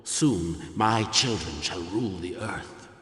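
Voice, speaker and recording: A man's voice: low-pitched, animated, takes it slowly, fairly bright tone, full character voice. A man playing a cold, menacing megalomaniac mad scientist in a video game, gloating and theatrical in his delivery. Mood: grandiose, menacing. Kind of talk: dramatic video game villain acting. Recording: echoey hall, long reverb.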